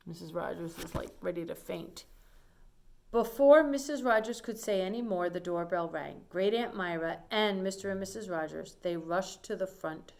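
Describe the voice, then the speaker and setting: A woman reads a children's picture book aloud in an expressive storytelling style. She steps out of the text with simple asides that explain what is going on. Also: on the low side, moderate pace, vocal fry.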